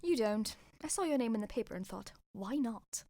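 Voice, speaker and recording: A woman playing a smug, scheming dark-arts villainess in an amateur radio play, theatrical and blithely sardonic. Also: on the high side, lively intonation, moderate pace, no room sound. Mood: cold triumph.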